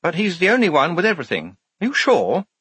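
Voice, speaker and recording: A practical woman army major from a storybook, put on by a man reading aloud, in a raised, slightly husky pitch. She sounds measured, officious and matter-of-fact. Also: wildly swooping pitch, sharp tone, no room sound, heavily acted. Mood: brisk, official.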